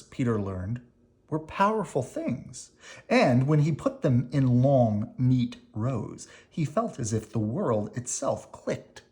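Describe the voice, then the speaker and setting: A person reading a children's picture book aloud expressively, with dramatic emphasis, pauses and brief explanatory asides. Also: medium pitch, darkish tone. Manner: dramatic emphasis, pausing between phrases.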